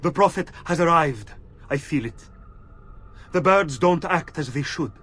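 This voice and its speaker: An actor voicing a crazed, paranoid priest in a video game, raspy and declaiming like a zealous preacher. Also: male voice, on the high side, lively intonation, unhurried, a bit bright. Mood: fearful, paranoid. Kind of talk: video game dramatic acting.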